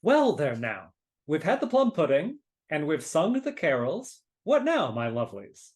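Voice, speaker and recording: A woman playing a cheerful, genial young gentleman hosting a party in a live dramatic reading. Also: deep voice, big pitch swings, a little slow. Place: remote video call at home. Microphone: video call microphone.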